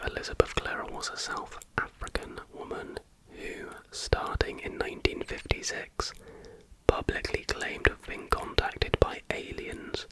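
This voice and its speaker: A man reading aloud in a soft, breathy, unvoiced whisper as an informal ASMR host. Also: rough recording.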